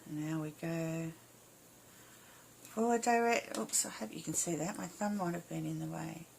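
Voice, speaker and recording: A woman with a slightly hoarse, croaky voice giving a casual step-by-step craft tutorial in short, informal phrases as an instructor. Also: low voice, normal speed, a little mellow, slight background noise. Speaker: embroidery instructor.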